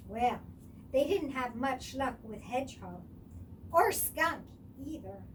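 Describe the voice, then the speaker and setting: A woman reading a children's story aloud as storyteller, expressive and explaining things for young listeners. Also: high voice, takes it slowly, far from the mic, a little hiss or hum.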